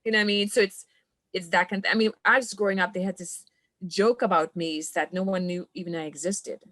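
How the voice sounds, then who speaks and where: A woman's voice: fairly low pitch, steady tone, fast talker, a bit bright, dry recording. A woman from Trinidad, a coach, speaking as a podcast guest in an earnest, reflective way and laughing often. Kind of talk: podcast conversation.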